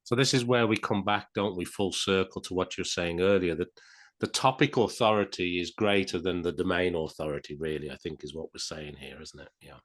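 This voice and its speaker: A man's voice: low voice, a little fast. A man hosting an interview podcast, summing up points and asking long, chatty questions with tag endings. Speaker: podcast host.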